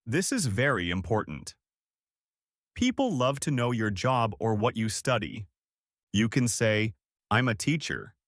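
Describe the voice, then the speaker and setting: A man co-hosting a podcast English lesson, explaining example phrases in a clear, upbeat way. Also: on the low side, very animated, a little slow, a bit bright, no echo, clean studio sound. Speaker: podcast co-host and English teacher. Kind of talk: scripted English lesson dialogue.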